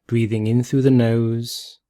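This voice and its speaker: A man leading a guided meditation in a calm, gentle, measured way, leaving long pauses between phrases. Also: medium pitch.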